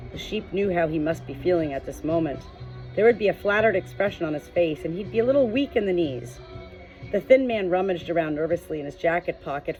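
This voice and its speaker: A woman's voice: on the low side, darkish tone. A woman reading an audiobook as scripted story narration. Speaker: audiobook reader. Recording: muffled, with music behind. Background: music.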